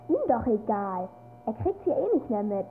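High-pitched sulky daughter, tinny sound. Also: female voice, sing-song, moderate pace, faint music behind. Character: sulky, blunt young daughter. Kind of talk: German radio drama acting. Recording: thin phone-like band.

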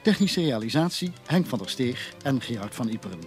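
A man announcing radio drama credits in a formal, measured scripted style. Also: mid-range voice, very animated, soft background music.

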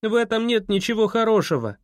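A man's voice: sits high, big pitch swings, a little fast, dry recording, studio quality, pitched above the performer's own voice. A man voicing a lonely, awkward fourteen-year-old boy who talks in an eager, pleading way.

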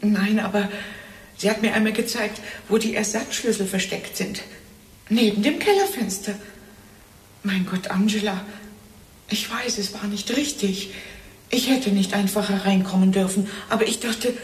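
A woman's voice: mid-range voice, normal speed, roomy sound, far from the mic, lightly acted. An actress playing a distraught, evasive woman in a German radio drama, speaking hesitantly and apologetically. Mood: shaken, apologetic. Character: distraught, evasive grown woman, polite but shaken.

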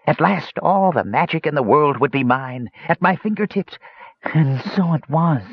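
Man voicing a wretched, high-pitched old wizard lord. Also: expressive, normal speed, slightly rough, no room sound. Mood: frantic. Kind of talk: dramatic fantasy audiobook character voice. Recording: slightly dull, lo-fi audio.

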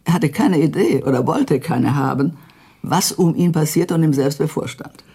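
An actress playing a grave, embittered elderly widow in a radio drama, with a husky, gravelly voice. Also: female voice, deep voice, expressive, normal speed, dark tone, full character voice. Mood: grave, sorrowful. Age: older. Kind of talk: naturalistic radio drama acting.

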